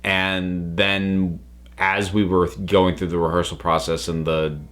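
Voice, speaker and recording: A man hosting a review vlog, talking casually and thoughtfully, with halting phrasing that often doubles back on words. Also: low voice, flat delivery, a bit bright. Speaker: review channel host. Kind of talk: casual spoken review to camera.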